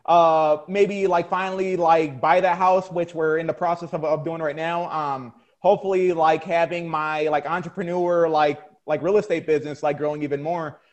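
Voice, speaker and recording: A young man with a high voice answers questions in a halting way, restarting his sentences and leaning on "like" and "um". He sounds casual and unpolished. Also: fairly even intonation. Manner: hesitant, many fillers and restarts. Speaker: guest, competitive bodybuilder. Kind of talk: casual interview chat.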